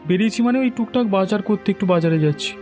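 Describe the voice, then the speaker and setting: A man's voice: high-pitched, very fast, darkish tone, faint music behind, lightly acted. A man playing a meek, poor villager in a cartoon story, speaking in a submissive, pleading manner. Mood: timid. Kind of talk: Bengali cartoon story acting.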